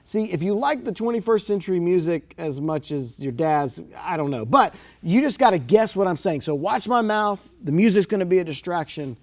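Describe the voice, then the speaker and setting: A man hosting a comedic talk-show skit, enthusiastic and animated in his patter. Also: high-pitched, moderate pace, few pauses.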